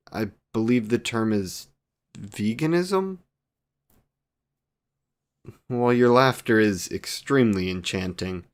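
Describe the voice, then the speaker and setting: A man playing a devoted, wry vampire boyfriend in a scripted romantic audio roleplay, speaking in ornate, formal phrases. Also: fairly low pitch. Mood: wry, earnest.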